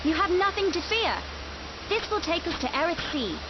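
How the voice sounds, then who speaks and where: A woman's voice: very high-pitched, lively intonation, moderate pace, noisy background. An actress with an English accent playing a dignified, guarded young noblewoman, speaking formally and precisely in a video game cutscene. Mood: polite, reserved. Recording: dull compressed game audio under music. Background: prominent orchestral music.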